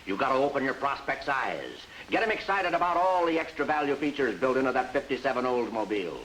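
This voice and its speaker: An actor playing a bossy, emphatic sales mentor who narrates and coaches in a 1950s industrial training film. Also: male voice, mid-range voice, lively intonation, quick pace, a bit bright, slight background noise, close to an everyday voice, poor audio. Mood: urging, corrective. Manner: instructive, emphatic, salesmanlike delivery. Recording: vintage 1950s film soundtrack with music.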